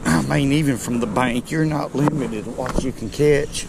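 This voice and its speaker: A middle-aged man, chatting to viewers in a folksy, excited way while fishing from a riverbank, recorded on a chest-mounted camera outdoors. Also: mid-range voice, moderate pace, gravelly, heavy background noise. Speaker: fishing video host. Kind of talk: casual outdoor commentary. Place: outdoors on a rocky riverbank below a dam. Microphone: chest-mounted action camera.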